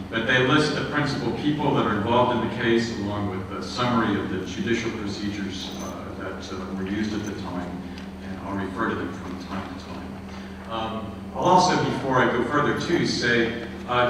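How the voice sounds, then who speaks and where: A lower-voiced man lectures in a measured, earnest way, stopping often on filler sounds. He is picked up from a distance in a big echoing hall with a long tail of reverb. Steady noise hums behind him. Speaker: guest lecturer, historian. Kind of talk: public history lecture. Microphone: room microphone. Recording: echoey hall, distant mic, background noise.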